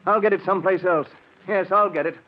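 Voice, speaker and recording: An actor playing a desperate, earnest working-class husband, halting and emphatic, in 1940s radio style. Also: male voice, high-pitched, fairly even intonation, close to an everyday voice. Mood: bitter. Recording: dull vintage radio recording, faint noise.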